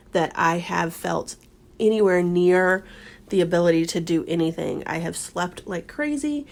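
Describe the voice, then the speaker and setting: Hoarse, crackly-voiced woman narrating crafts. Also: on the low side. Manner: relaxed, step-by-step explaining. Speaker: scrapbooking channel host. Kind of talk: casual voice-over narrating a craft process.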